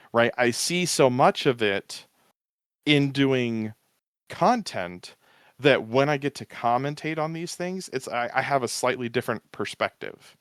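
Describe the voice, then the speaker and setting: A man guest talking easygoing and rambling about his commentary work, with a smooth voice. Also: medium pitch, dry recording, clean studio sound. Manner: easygoing, rambling, restarts with fillers. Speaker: podcast guest, tournament commentator. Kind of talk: casual interview chat.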